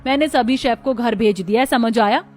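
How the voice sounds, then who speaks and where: A woman's voice: on the high side, moderate pace, darkish tone, faint music behind, natural voice. A woman voicing a harsh, middle-aged, domineering rich mother-in-law in a cartoon moral story, scolding and taunting. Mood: scornful, commanding.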